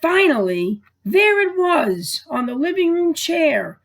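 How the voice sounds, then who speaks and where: A woman librarian reading aloud to children and families in a deliberate, expressive storytelling way. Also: very high voice.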